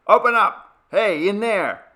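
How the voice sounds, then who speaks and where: A man voicing an angry, coarse male rent collector in a storybook reading, barking abuse and demands in an indignant, shouting manner. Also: high-pitched, takes it slowly, voice pushed up, full character voice. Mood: furious, pounding.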